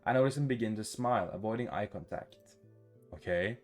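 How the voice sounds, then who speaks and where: A man's voice: on the low side, brisk, darkish tone. A man reading a visual novel aloud as a gaming YouTuber, stumbling over words now and then and adding his reactions. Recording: music behind the voice.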